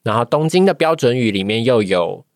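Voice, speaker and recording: A woman's voice: very deep voice, expressive, fairly quick, darkish tone, no room sound, clean studio sound. A woman with a Taiwanese Mandarin accent, a podcast guest giving a casual personal account and often searching for words. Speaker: podcast guest, voice acting trainee.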